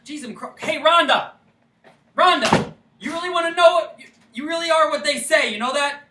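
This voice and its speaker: A woman's voice: high voice, moderate pace, lightly acted. A woman acting a persistent, teasing small-town suitor in a staged play reading, insistent, folksy and casual. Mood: teasing, needling. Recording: roomy, distant microphone, faint noise.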